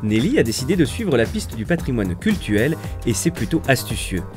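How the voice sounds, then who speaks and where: A man's voice: mid-range voice, sing-song, normal speed. A man narrating a show from a script. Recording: clear music under the voice.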